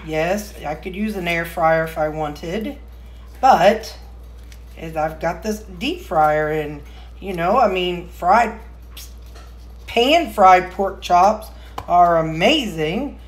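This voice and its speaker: A woman vlogging as a home cook, chatting casually in a rambling, folksy way while she demonstrates in her kitchen. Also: fairly low pitch. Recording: roomy, echoey kitchen sound.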